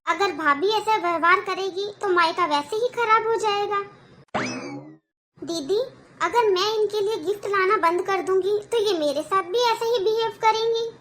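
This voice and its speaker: An extremely high, girlish voice over faint background noise. A woman plays an outspoken younger sister in a cartoon moral story, indignant and argumentative as she protests. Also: normal speed, a bit bright.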